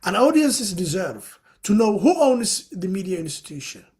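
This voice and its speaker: A man's voice: high-pitched, very animated, moderate pace, a little mellow. A man from Somalia, speaking as a panelist in a webinar discussion. He explains his points earnestly and fills his sentences with "you know".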